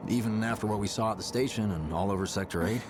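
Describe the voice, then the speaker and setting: A friendly rebel sidekick played by a male actor in a video game, low-pitched and chatty. He comes across as easygoing and optimistic, with clear street and engine noise behind him. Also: quick pace, lightly acted. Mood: casual, tentative. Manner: casual, chatty.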